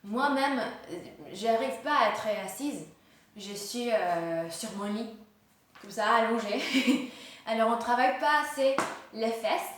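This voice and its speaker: A woman in her late twenties leading a guided yoga class in a gentle, hesitant way, with many fillers and restarts. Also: on the high side, slow pace, a little hiss or hum. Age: late 20s. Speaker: yoga instructor. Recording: very echoey room, voice far from mic.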